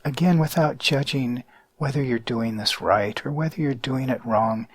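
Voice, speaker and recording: A man guiding a mindfulness meditation in a calm, measured way with deliberate pauses. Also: mid-range voice, slightly rough, no room sound. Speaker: meditation guide.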